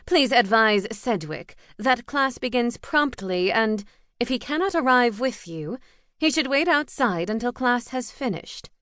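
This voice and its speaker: A stern, imposing older witch teacher, formal and commanding, as a woman narrator puts her on for a storybook. Her lines sit higher and ring louder than the narration, measured and unhurried, in a dry, close recording. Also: animated, no room sound. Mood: composed.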